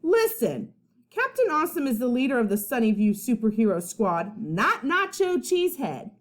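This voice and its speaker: A woman's voice: on the high side, sing-song, moderate pace. Woman putting on an angry, stubborn little boy. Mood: angry, indignant. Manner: indignant, emphatic, whiny growl. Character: angry, stubborn young superhero-playing boy, Eugene.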